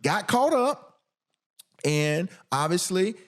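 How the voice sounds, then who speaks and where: A middle-aged American man speaks as a podcast guest, in a fairly high voice with lots of pitch movement. He tells personal stories candidly and emphatically. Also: very animated, slow pace. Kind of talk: casual personal storytelling.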